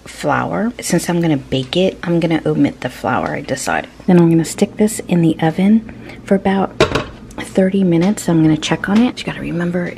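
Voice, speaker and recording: Low-voiced woman, chatty home cook. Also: animated, moderate pace, gravelly, background noise. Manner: chatty, informal, playful wording. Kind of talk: casual cooking vlog narration. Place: home kitchen, indoors.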